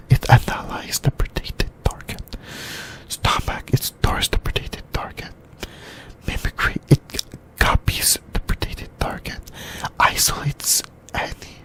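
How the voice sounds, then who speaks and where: A host whispering an ASMR discussion in a breathy, unvoiced voice, speaking in halting short phrases and repeating words. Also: fairly bright tone. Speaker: host of an anime discussion channel.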